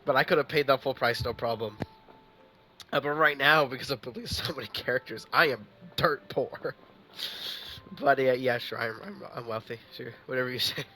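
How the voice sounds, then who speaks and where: Man, mid-pitched, bright sharp tone, casual rambling game commentary, faint background noise. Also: moderate pace. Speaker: game streamer. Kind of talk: casual live gameplay commentary.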